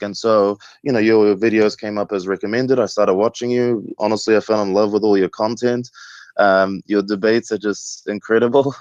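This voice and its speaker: A man's voice: lowish voice, no room sound. A man in his thirties, originally from Egypt, calling in and talking earnestly with frequent ums. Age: 30s. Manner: steady, earnest, many ums. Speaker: caller. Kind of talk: phone call to a show. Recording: dull, dry phone-line sound.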